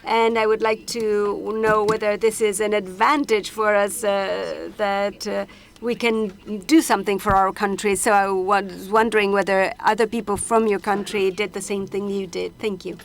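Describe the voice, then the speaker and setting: A woman interpreting a panel discussion simultaneously, halting often with hesitations and restarts as she renders each speaker. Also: mid-range voice, little pitch movement, creaky. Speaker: conference interpreter.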